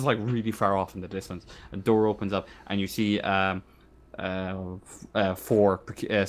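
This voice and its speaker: Low-voiced male game master, halting delivery. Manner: halting, searching delivery with repeated phrases. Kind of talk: improvised narration of a tabletop game.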